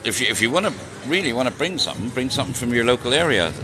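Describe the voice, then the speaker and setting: A man's voice: mid-range voice, big pitch swings, very fast. Jokey man in his sixties, street noise. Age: 60s. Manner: chatty, jokey, answers viewer questions. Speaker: vlogger. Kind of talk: casual live-stream chat while riding. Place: outdoors on city streets. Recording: noisy outdoor street recording. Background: traffic and street noise.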